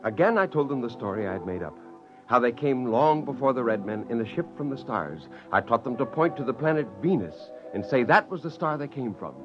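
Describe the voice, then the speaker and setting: An actor playing an excitable scientist father who narrates and acts in an old radio drama. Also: mid-range voice, fairly quick, lightly acted. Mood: gleeful narrating. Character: excitable, gleeful scientist father narrating his secret creation. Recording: dull lo-fi old radio, music underneath.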